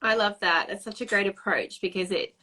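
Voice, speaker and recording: A woman's voice: medium pitch, brisk, pushed and bright. A woman hosting a live-streamed video interview, talking in a chatty, enthusiastic way with many "um" fillers. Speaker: host.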